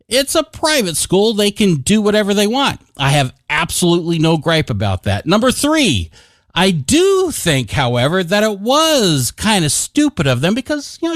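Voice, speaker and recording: Radio DJ, highly animated and jokey, punchy theatrical emphasis, music underneath. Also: no echo, studio quality. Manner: jokey, playfully self-deprecating, theatrical emphasis. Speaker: radio DJ and host. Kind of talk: upbeat radio DJ patter over music. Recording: music bed behind the voice.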